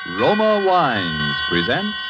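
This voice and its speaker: A man's voice: mid-range voice, wildly swooping pitch, slow pace. A man announcing a sponsor's advertisement in 1940s radio style. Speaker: commercial announcer. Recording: muffled 1940s radio, loud music.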